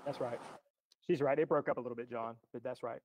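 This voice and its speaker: A man's voice: mid-range voice, steady tone, fast, a little mellow, a little hiss or hum. A man in his thirties on a video call, giving brief procedural guidance as a legal adviser.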